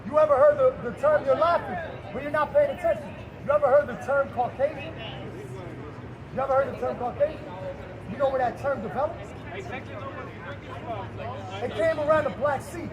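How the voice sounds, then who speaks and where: A man's voice: very high-pitched, normal speed, pauses often, lo-fi sound, a crowd in the background. A man preaching on the street, reading scripture aloud and firing rhetorical questions at passersby. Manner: emphatic, fires rhetorical questions and challenges listeners. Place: outdoors on a city street near traffic. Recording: street noise outdoors.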